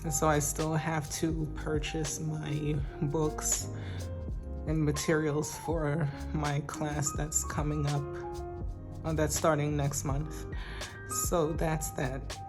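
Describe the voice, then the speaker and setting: A woman's voice: sits low, monotone, over music. A woman vlogger with a weak, shaky voice, chatting casually and hesitantly about her budget. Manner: slow and soft-spoken, with hesitant ums and light laughs. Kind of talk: casual chat.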